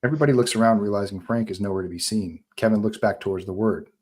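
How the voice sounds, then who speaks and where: A man with a low voice reads stage directions for a script table read. He delivers them as narration, in short, terse phrases that set each scene. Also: quick pace, a little mellow, husky.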